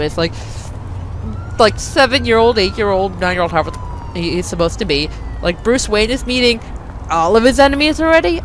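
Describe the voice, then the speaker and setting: A woman's voice: mid-range voice, poor audio. A woman hosting a let's play with casual, rambling commentary and wry asides. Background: game audio.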